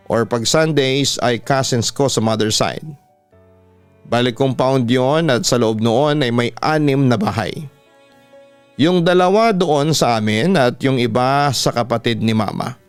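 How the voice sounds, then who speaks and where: A man's voice: medium pitch, clear, resonant tone, no echo. A man with a Filipino accent reading a scripted horror story as a radio announcer would, over background music. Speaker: radio announcer and host reading listeners' stories. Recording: instrumental music bed behind a dry voice.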